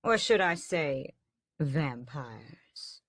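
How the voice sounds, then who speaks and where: A woman's voice: low-pitched, expressive, very slow, no room sound, clean studio sound, exaggerated voice. A woman voicing a suave, sinister male vampire captor who drawls with languid, mocking menace in an audiobook. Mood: amused, taunting.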